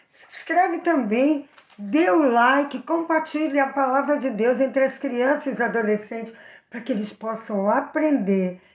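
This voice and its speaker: Brazilian woman storyteller, higher voice, lively and direct with young listeners, roomy sound. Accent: Brazilian Portuguese. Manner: animated, addresses the young viewers directly. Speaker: children's Bible storyteller. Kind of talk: storytelling for children.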